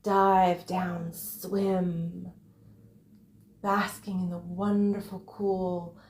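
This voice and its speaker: Female guided-meditation narrator: soft-edged mellow tone, unhurried short phrases, roomy echoing recording. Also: fairly low pitch, level tone. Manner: unhurried, short separated phrases, breathy emphasis. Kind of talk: guided visualization, meditative first-person narration.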